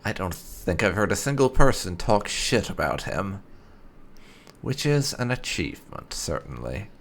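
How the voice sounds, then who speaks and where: A man hosting a gaming playthrough, reading his character's dialogue aloud in a deliberate, theatrical way. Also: fairly low pitch, very animated, a little hiss or hum. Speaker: gaming channel host, player.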